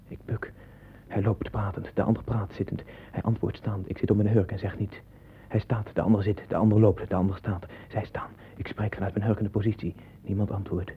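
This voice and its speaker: An actor in a 1960s radio play voicing a troubled man sinking into obsessive visions, erratic and husky. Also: lowish voice. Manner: erratic, swinging from hushed brooding to loud agitation. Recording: muffled narrowband old radio recording.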